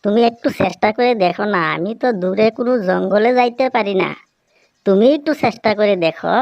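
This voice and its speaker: A woman's voice: mid-range voice, brisk, creaky, highs cut off, close to an everyday voice. A woman voicing a caring mother tailorbird in a cartoon, coaxing and homely in manner. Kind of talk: Bengali cartoon character dialogue.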